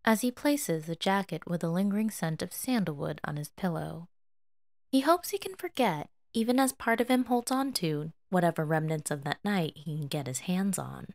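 A woman reads a story aloud as its narrator. Her voice sits a little low yet moves with lively intonation, in a close, dry recording. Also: normal speed, creaky, no echo. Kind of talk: expressive scripted story narration.

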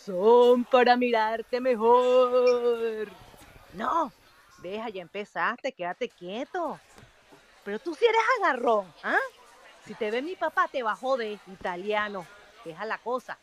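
A woman's voice: a little high, expressive, slow pace, muffled recording. An actress playing a feisty, lovestruck young Venezuelan woman in a humorous radio soap, colloquial and coy, with a Venezuelan accent. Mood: coy, scolding.

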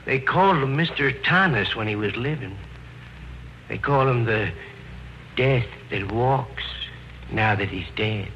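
Creepy folksy local giving warnings, sharp voice, old radio horror, dull hissy sound. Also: male voice, medium pitch, expressive, unhurried, pauses often. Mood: ominous, foreboding. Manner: folksy, ungrammatical, foreboding. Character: ominous rustic local man warning trespassers. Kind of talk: 1940s-50s radio horror drama acting. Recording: muffled old-time radio recording with hiss.

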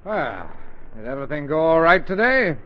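A man's voice: a little high, sing-song, normal speed. A man playing a blustery, grumbling middle-aged father in 1940s radio comedy style. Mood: settling down. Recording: muffled, echoey 1940s radio recording.

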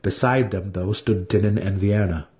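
Mellow male fantasy audiobook narrator. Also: low-pitched, steady tone, moderate pace. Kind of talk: dramatic scripted narration.

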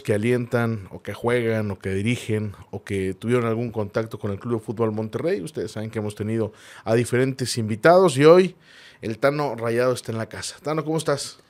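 Northern Mexican male podcast host, mid-pitched. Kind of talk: conversational podcast hosting.

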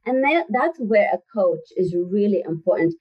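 Husky woman, distant and muffled. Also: medium pitch, moderate pace. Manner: animated storyteller who acts out voices in quotes. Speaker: guest, health coach. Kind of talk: casual interview chat. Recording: distant, dull lo-fi sound.

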